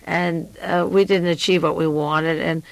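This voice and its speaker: A woman hosting a radio show, delivering a rambling political monologue with frequent pauses. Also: on the low side, fairly even intonation, creaky, no room sound.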